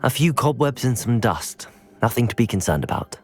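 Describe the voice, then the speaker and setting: An actor playing a calm, polite and confident teenage student wizard in a video game, courteous and reassuring. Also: male voice, mid-range voice, normal speed, breathy edge, soft background music. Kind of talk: video game character acting.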